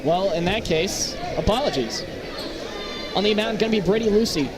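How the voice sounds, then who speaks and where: A man's voice: high voice, animated, moderate pace. A man doing live basketball play-by-play as the announcer, in short, clipped calls. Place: press booth at an indoor basketball game. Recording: very loud crowd and gym noise. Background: loud crowd, bouncing basketballs.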